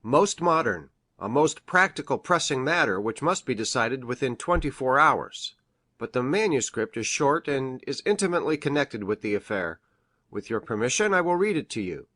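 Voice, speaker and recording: A man playing an earnest doctor in a dramatic audiobook, reading formal archaic prose in a solemn, measured way. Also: mid-range voice, very animated, pushed and bright, dry recording, clean studio sound. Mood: earnest, businesslike. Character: earnest male doctor reading an old family legend.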